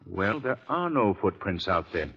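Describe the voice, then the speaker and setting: An actor with an American accent playing a composed, authoritative gentleman detective in an old radio mystery, on a muffled, faintly hissy recording. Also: low voice, big pitch swings, moderate pace, husky, full character voice. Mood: composed, commanding. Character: composed, authoritative gentleman sleuth, taking charge. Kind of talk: 1940s radio acting.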